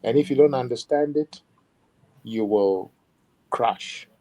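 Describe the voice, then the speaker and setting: A man being interviewed as a musician, talking in a measured, reflective way with many "you know" asides. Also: mid-range voice, warm tone. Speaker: musician guest. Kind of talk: interview conversation.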